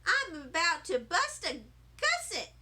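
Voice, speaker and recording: A woman voicing an excitable, blunt little first-grade girl who exclaims in childish grammar in a children's story. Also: very high-pitched, takes it slowly, voice pushed up, exaggerated voice. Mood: curious, blurting. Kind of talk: children's audiobook character voice.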